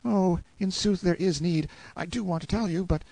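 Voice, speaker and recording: A man's voice: on the high side, huge pitch swings, normal speed, a little mellow, slightly rough, no echo, voice pushed up, heavily acted. A man voicing a timid, superstitious medieval page boy with archaic, pleading speech in an audiobook. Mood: fearful, hesitant. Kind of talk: audiobook character acting.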